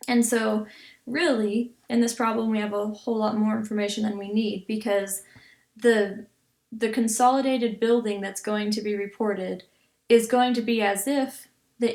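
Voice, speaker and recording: Woman, mid-pitched, creaky fry, tutorial instructor, step-by-step explanation, thinking aloud. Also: steady tone, moderate pace, a little mellow. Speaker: accounting instructor. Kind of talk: explanatory tutorial, reading then working through a problem.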